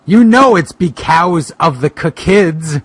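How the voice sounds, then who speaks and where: A man playing a brooding, reluctant monster hero in a halting, mock-dramatic way for a comic fan fiction reading. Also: a little high, animated, husky, dry recording, heavily acted. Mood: grudging, brooding.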